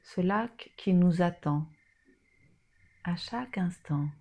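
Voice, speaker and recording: A woman leading a guided yoga nidra meditation in a soothing, soft manner with long pauses. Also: lowish voice.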